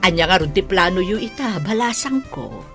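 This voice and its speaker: A woman's voice: medium pitch, expressive, moderate pace, over music, lightly acted. A woman with a Filipino accent playing a supportive, affectionate middle-aged aunt in an audio drama. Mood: affectionate, encouraging.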